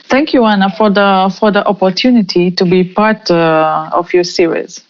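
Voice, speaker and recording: A woman in her forties who grew up in Romania, answering interview questions slowly with many fillers and restarts. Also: medium pitch, fairly even intonation. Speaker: guest. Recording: muffled lo-fi remote call audio.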